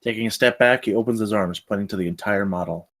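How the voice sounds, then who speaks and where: A man's voice: lowish voice, fast. A man reading stage directions as the narrator of a screenplay table read.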